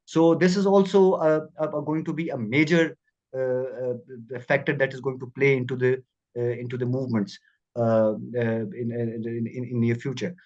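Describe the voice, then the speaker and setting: A man giving an unscripted speech at an online meeting, halting with many repeats and fillers. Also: medium pitch, flat delivery, darkish tone. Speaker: speaker at an online political meeting. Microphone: video call. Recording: dull lo-fi call audio.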